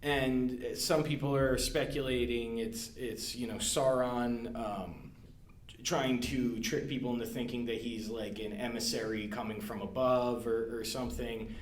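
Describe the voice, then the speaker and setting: A man's voice: mid-range voice, fairly even intonation, measured pace. Man chatting in a roomy, echoey space. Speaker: podcast co-host. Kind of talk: casual podcast discussion. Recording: roomy, echoey indoor sound.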